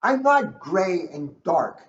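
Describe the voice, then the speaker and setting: A man's voice: high voice, sing-song, takes it slowly, a bit bright. A man reading a children's book aloud as a police officer, chatting and joking at his own expense in casual asides. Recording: echoey room, dull lo-fi, faint noise.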